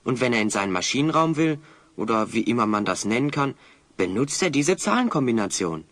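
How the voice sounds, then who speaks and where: A man's voice: mid-range voice, big pitch swings, brisk, fairly bright tone, dry recording, clean studio sound. A man playing a bold, resourceful adventurer hero in a German children's audio drama, eagerly explaining his plans. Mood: determined, explaining.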